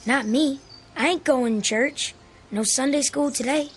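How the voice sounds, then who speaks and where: Bossy, scornful country kid. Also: female voice, sits high, measured pace, a little hiss or hum. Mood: bossy, sneaky. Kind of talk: radio drama acting.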